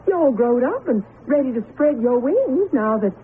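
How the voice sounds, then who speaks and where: Doting older farm wife, high lilting voice, tender radio drama style, tinny hissy recording. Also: female voice, very animated, normal speed. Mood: tender, wistful. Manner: tender, wistful, gently fussing. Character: loving older farm wife and proud mother. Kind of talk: old-time radio drama acting.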